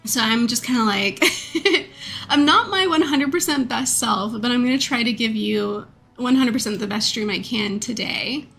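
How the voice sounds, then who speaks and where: A woman in her thirties chats at her desk mic while streaming, her voice on the higher side and noticeably nasal from a stuffed-up nose, with a faint croak. She rambles easily through fillers and quick laughs, and soft music plays faintly underneath. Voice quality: a bit croaky. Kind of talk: casual chat with viewers.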